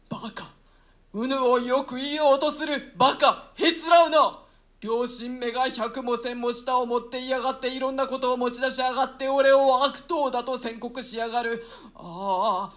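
A man's voice: very high-pitched, pushed and bright, heavily acted. An actor playing a guilt-ridden villain king, delivering an anguished theatrical monologue in a staged radio-play reading.

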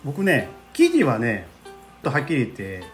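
A man's voice: mid-range voice, huge pitch swings, normal speed. A man with a Kansai accent, hosting a casual food-tasting chat in a teasing, explanatory way. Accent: Kansai Japanese. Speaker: host, amateur drama troupe leader. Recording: soft plucked guitar music behind. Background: light guitar background music.

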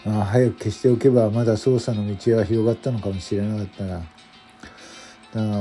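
A man playing a regretful veteran police detective in a radio drama, musing in gruff, terse phrases. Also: mid-range voice, mellow, lightly acted.

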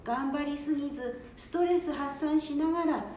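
A woman's voice: normal speed, darkish tone, natural voice. A woman playing a kind, attentive care manager in an amateur radio drama. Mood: sympathetic. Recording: very echoey room, faint rumbling noise.

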